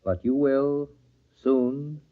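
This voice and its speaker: An actor playing a coldly possessive, menacing wronged husband in an old radio thriller, speaking deliberately and ominously. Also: male voice, mid-range voice, steady tone. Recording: thin, phone-like old radio recording.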